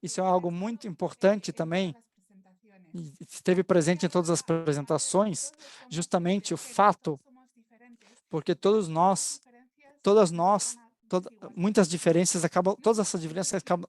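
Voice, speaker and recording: A woman's voice: on the low side, steady tone, normal speed, a little mellow. A woman in her forties from Spain, speaking Portuguese with a Spanish accent and summarizing a webinar panel over a video call, hesitant and full of restarts. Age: 40s. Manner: hesitant, with frequent restarts and fillers. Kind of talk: thoughtful spoken summary. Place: home, online event.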